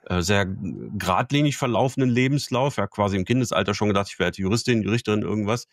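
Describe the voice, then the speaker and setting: A man's voice: on the low side, quick pace, no echo, studio quality. A man hosting an interview, asking long questions with frequent restarts. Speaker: podcast host.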